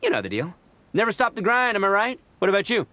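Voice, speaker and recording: A man voicing a boastful, playful young oni in a video game, swaggering and teasing in slangy talk with a gravelly edge. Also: sits high, big pitch swings, fast talker, sharp tone, dry recording, exaggerated voice. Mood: cocky. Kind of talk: animated video game voice acting. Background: music.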